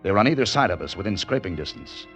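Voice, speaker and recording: An actor playing a hard-boiled American adventurer in an old radio drama, terse, wry and dramatic. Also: male voice, lowish voice, very animated, fast talker, fairly bright tone. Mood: tense, breathless. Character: hard-boiled American adventurer telling his own escape story. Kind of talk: 1940s-50s radio drama acting, first-person narration. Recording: vintage radio with faint music behind.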